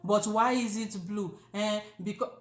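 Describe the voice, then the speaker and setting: A woman with a Nigerian accent, co-hosting a casual chat, joking and animated with many fillers. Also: mid-range voice, unhurried. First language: not Yoruba. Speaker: co-host. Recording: echoey room.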